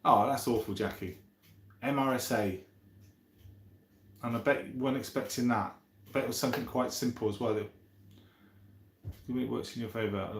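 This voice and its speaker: A man's voice: lowish voice, slight background noise. A man in his fifties hosting a casual live stream, chatting in a rambling, chummy way as he answers viewers. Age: 50s. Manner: rambling, chummy, thinking aloud. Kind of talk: casual chat, reading out and answering viewer comments. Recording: roomy echo.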